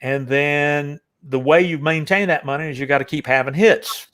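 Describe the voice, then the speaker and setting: A man's voice: on the high side, normal speed, a bit bright, clear, resonant tone. An older man hosting a casual interview, talking in long rambling stretches full of fillers and restarts. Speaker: interview host.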